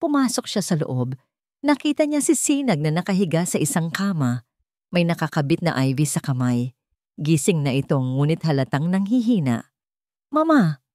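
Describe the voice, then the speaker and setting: A woman's voice: low-pitched, expressive, moderate pace, mellow, no room sound, studio quality. A woman reading a scripted, dramatic story as its narrator.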